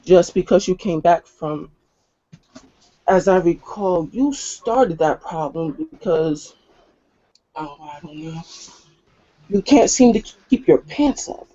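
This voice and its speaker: A man's voice: high voice, expressive, slow, pauses often, dark tone, highs cut off, heavily acted. A man voicing a hostile, domineering, foul-mouthed female werewolf in a scornful, confrontational manner during tabletop roleplay. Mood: scornful anger.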